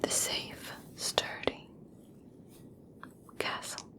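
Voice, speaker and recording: Slow whispering elf princess, played by a woman. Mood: coaxing, veiled. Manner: soft, slow, soothing, formal. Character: regal elf princess, gracious, coaxing, subtly conditional kindness. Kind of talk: whispered ASMR personal attention roleplay.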